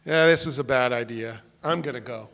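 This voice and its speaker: A man's voice: medium pitch, fairly quick, creaky, a bit bright, slight background noise. A man playing a plain-spoken, awkward retired police detective in a staged play reading, with clipped, unpretentious delivery. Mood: uncomfortable. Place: live on a theatre stage. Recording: reverberant theatre hall.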